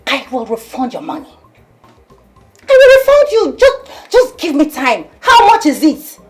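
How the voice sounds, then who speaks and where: An actress playing a domineering, sharp-tongued, self-serving girlfriend in a film drama, scolding theatrically with rhetorical questions. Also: medium pitch, big pitch swings, a little slow, soft background music. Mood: defiant, heated.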